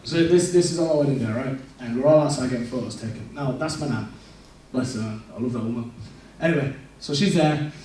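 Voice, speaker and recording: A man's voice: mid-range voice, a little fast, few pauses, dark tone, a little hiss or hum. Young man, candid church testimony, self-deprecating, very echoey hall, voice from a distance. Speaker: church speaker. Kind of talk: personal testimony.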